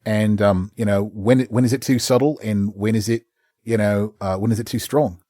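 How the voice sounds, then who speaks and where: A man, a voice actor, chatting casually in an interview and telling stories with lively quick voice changes. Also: lowish voice, dry recording, clean studio sound. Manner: animated storyteller, slips into quick voices. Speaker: voice actor guest.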